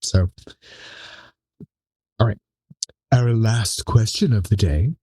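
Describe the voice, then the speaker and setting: A man's voice: on the low side, slow pace, a little mellow, husky, no echo. A man hosting a podcast, running a trivia quiz in a casual, chatty style. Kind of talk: casual quiz-show chat.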